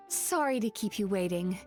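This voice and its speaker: A woman voicing a composed, polite, gently teasing psychologist and bathhouse owner in a video game. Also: mid-range voice, expressive, unhurried, lightly acted. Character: composed, kindly teasing female baku psychologist and bathhouse shareholder. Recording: music behind the voice.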